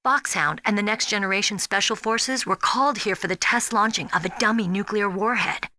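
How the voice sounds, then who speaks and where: Woman acting plucky, bright-voiced young rookie soldier. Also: mid-range voice, fairly quick, no room sound, close to an everyday voice. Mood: confiding, frank. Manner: frank, plucky, expressive. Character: spirited, earnest young rookie woman soldier, eager to prove herself. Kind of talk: dramatic video game voice acting.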